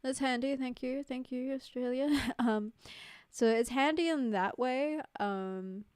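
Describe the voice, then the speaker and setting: A woman's voice: sits high, unhurried, darkish tone, clear, resonant tone, no echo. A young woman from New Zealand with a New Zealand accent, chatting casually with her viewers on a livestream, full of "like" and "um". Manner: chatty, many "like" and "um" fillers, laughs easily. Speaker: streamer. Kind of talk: casual livestream chat reading viewer messages. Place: home streaming setup in Queensland. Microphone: studio mic close up.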